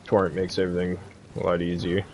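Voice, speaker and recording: Casting: man, deep creaky voice, relaxed and informal game guide commentary, faint noise behind. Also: quick pace. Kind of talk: casual gameplay walkthrough commentary.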